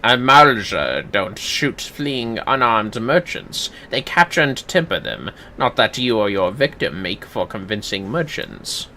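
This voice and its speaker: A man voicing a sharp, scolding detective inspector in a fantasy game, laying out deductions accusingly. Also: fairly low pitch, very animated, measured pace, hardly any pauses, slight background noise, exaggerated voice. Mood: scornful, deducing. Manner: accusing, matter-of-fact deduction.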